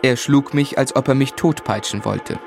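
A male actor narrating a radio play as a grown man looking back on his boyhood, in a measured, reflective storytelling manner. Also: mid-range voice, vocal fry, loud music behind the voice, natural voice.

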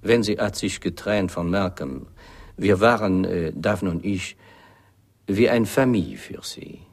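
An actor playing an oily, intrusive Haitian crook in a radio drama, effusive and affected, with a French accent and broken German. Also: male voice, deep voice, measured pace. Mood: smug, confiding.

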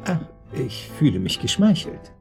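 A man's voice: medium pitch, very animated, measured pace, gravelly, a little mellow, soft background music, full character voice. An actor playing a nervous, courteous, flirtatious man in a radio drama, hesitant and stammering, with speech. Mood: charming, flirtatious. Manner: hesitant, stammering, formally polite. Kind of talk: German radio drama acting.

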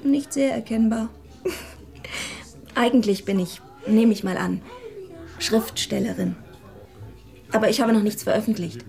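An actress playing a shy, frank twenty-year-old would-be writer, naive and earnest, in a radio drama. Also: female voice, on the high side, steady tone, measured pace, gravelly, long pauses, a little mellow, faint music behind. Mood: candid.

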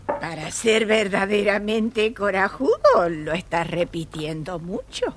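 A woman's voice: medium pitch, sing-song. An actress playing a sly, mocking little old woman guarding a castle in a children's audio story. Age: older. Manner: slow, mocking, unhurried. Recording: old record with faint background noise.

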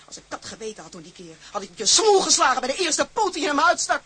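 An actress playing a fierce, suspicious young sea captain's daughter in a radio drama, sharp-tongued and accusing, over background noise. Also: female voice, high-pitched, very animated, racing, no echo. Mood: furious. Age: young adult. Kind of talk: Dutch radio drama acting.

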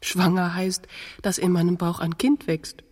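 An actress in a radio play as a stubborn, teasing woman in her twenties, slightly husky, contradicting in a dry, matter-of-fact way. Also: fairly low pitch, little pitch movement, measured pace, a little mellow. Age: 20s. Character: stubborn, teasing 28-year-old pregnant woman. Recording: long hall-like reverb.

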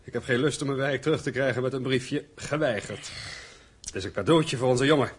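A man's voice: mid-range voice, a little fast, slightly rough, lightly acted. A man playing a middle-aged mine owner's son, earnest, well-mannered and conciliatory, in a radio play. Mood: gentle, relaxed.

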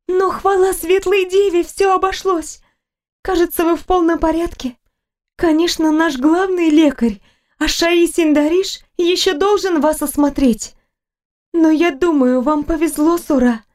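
A woman voicing a fussy, talkative young female nurse with a high, ringing voice. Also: fairly even intonation, measured pace, darkish tone. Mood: chattering.

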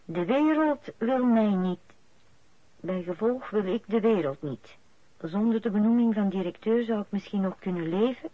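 A woman's voice: medium pitch, dry recording, natural voice. An actress playing a composed woman, an older man's friend, in a radio drama. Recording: dull old radio recording.

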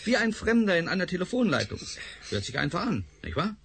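A man's voice: sits high, sing-song, a little fast, a bit bright, a little hiss or hum, heavily acted. An actor playing a commanding, sarcastic male research director in a radio play. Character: stern male director Doktor Bergson, authoritarian.

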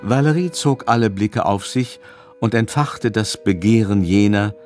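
A man's voice: fairly low pitch, a little slow, darkish tone. A man narrating a literary story in a scripted radio drama. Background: music under the voice.

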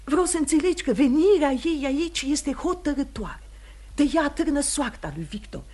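An actress playing a proud, anxious, devout middle-aged mother of high society in a theatrical radio drama, with a genteel, emotional way of talking. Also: animated, warm tone. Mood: anxious, indignant. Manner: genteel, emotional, slips into French.

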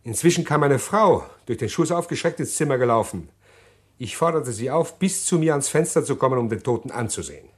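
An actor playing a middle-aged man who calmly confesses a murder plot in an old radio play, measured and hesitant. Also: medium pitch, lightly acted. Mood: calm confessing. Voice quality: measured, deliberate, hesitant with pauses. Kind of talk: 1950s radio drama acting.

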